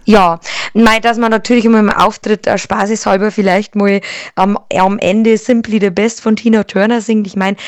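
A young woman from Lower Bavaria with a Bavarian accent, a singer answering interview questions with many hesitations and fillers. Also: vocal fry, darkish tone, no room sound. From Lower Bavaria, Germany. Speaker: Schlager singer, interview guest.

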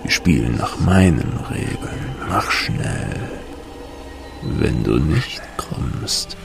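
A man voicing a cruel, taunting male lord of thunder in a dramatic audiobook reading, speaking in slow, threatening whispers over storm sound effects. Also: very deep voice, expressive, gravelly, music in the background, pitched below the performer's own voice, full character voice. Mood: menacing. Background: rolling thunder and wind noise.

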